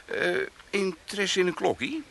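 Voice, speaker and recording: An actor playing a brash, wheedling hustler with an Amsterdam accent and slangy, pushy delivery in a comic radio play. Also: male voice, high voice, big pitch swings, normal speed, creaky, fairly bright tone, full character voice. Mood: wheedling, sly. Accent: Amsterdam Dutch.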